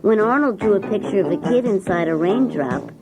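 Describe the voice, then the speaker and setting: A woman's voice: mid-range voice, animated, fairly quick, music in the background, natural voice, rough recording. A woman playing a schoolchild who narrates class adventures with wonder in a children's story. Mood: matter-of-fact storytelling.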